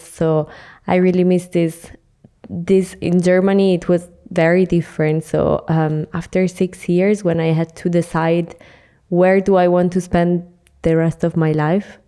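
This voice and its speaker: A young woman from Milan speaks English as a second language, with Italian as her native tongue. Her voice is lowish and mellow, and she chats easily as a guest, laughing often. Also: clean tone. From Milan, Italy. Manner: laughs a lot, light and chatty. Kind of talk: casual interview chat.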